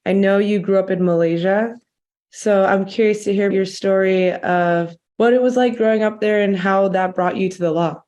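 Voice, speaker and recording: A woman's voice: medium pitch, steady tone, normal speed, a little mellow. A young woman interviewing a guest on a podcast, asking curious, encouraging questions. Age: young adult.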